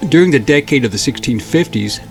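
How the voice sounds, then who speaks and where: Male documentary narrator, steady scripted read, faint music underneath. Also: mid-range voice, vocal fry. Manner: measured, steady reading. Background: faint background music.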